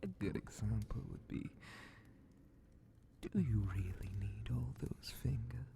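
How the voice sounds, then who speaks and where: A man voicing a sly, menacing demon grand vizier in an ASMR fantasy horror roleplay, mockingly polite and theatrical, often dropping into a breathy whisper. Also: on the low side, mellow, a little hiss or hum. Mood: silky menace. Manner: slow, theatrical, condescending, half-whispered menace.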